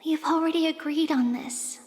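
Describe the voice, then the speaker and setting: Woman as a very high-voiced, wistful little girl. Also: steady tone, moderate pace, a little mellow, close to an everyday voice. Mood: wistful, resigned. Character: small girl, gentle, resigned beyond her years. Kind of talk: video game voice acting. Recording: long reverb, light music behind.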